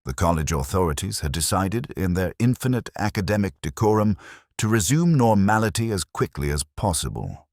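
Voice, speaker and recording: Very deep-voiced man narrating a brooding detective story. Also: big pitch swings, normal speed, darkish tone, dry recording, clean studio sound. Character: melancholy, world-weary male English detective narrating his case. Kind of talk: literary first-person detective audio drama narration.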